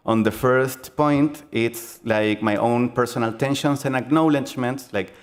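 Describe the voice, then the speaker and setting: A young man with a Chilean accent, giving a conference talk on game design in a halting, earnest way, full of fillers and restarts. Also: mid-range voice. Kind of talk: conference presentation.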